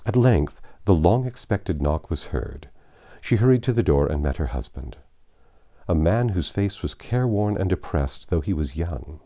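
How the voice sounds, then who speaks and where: A man narrating a classic story expressively, with dramatic, deliberate phrasing. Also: deep voice, expressive, mellow, no echo. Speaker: audiobook narrator.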